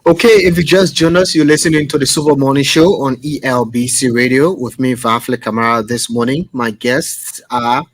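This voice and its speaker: Liberian radio host asking questions. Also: male voice, mid-range voice, moderate pace, few pauses, no echo. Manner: brief prompts and steady questions. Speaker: radio morning show host. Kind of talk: radio interview.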